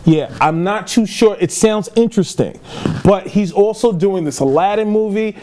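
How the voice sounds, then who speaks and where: A man's voice: high-pitched, moderate pace, warm tone. A man co-hosting a podcast in casual chat, speaking emphatically and repeating himself to make a point. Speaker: podcast co-host reviewing movie news.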